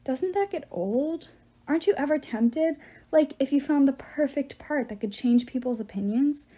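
A woman's voice: sits high, moderate pace, dark tone, pitched above the performer's own voice, lightly acted. A woman voicing an earnest teenage girl in an audiobook, defiant and emotional, pleading and challenging as she speaks. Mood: questioning. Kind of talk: audiobook character voice.

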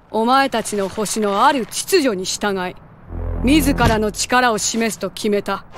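An actor playing a haughty, menacing young alien conqueror who makes formal, pompous proclamations in an anime game. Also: mid-range voice. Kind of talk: dramatic anime game voice acting.

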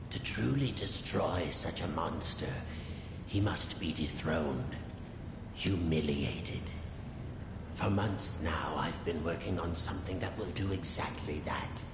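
A man playing a scheming, grandiose older scientist in a video game, with a gravelly, theatrical, measured delivery. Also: deep voice, full character voice. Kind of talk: video game cutscene acting. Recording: roomy, long reverb, background noise.

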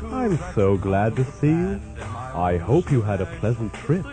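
Mad doctor for radio comedy, played by a man: effusive, courteous, mid-pitched, widely varied intonation. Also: very animated, measured pace, over music. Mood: genial. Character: genial, courteous, dotty mad doctor host. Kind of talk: comic horror radio play acting.

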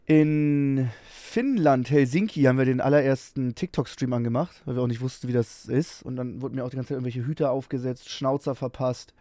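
A man's voice: medium pitch, darkish tone, no room sound, clean studio sound. A young man wearing a headset, reacting in a casual stream and asking bemused questions aloud. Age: young adult. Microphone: headset with boom mic.

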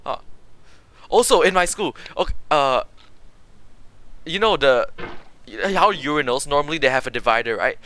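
A man's voice: a little high, fairly bright tone, a little hiss or hum. A young man from Malaysia, chatting casually and joking with his chat while livestreaming a game. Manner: goofy, rambling, quick jokes to chat. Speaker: streamer.

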